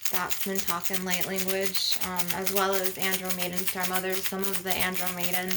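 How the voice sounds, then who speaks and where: A woman hosting a spiritual ASMR session, speaking softly and at times in a near-whisper, with a drawn-out delivery. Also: on the low side, monotone. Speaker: ASMR host and spiritual healer. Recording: noisy recording with clicking sounds.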